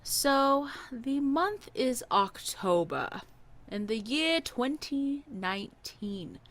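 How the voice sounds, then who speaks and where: A young woman from Hawaii co-hosting a podcast, telling a story in a lively, laughing way. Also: high voice, extremely slow. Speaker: podcast co-host. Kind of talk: casual storytelling.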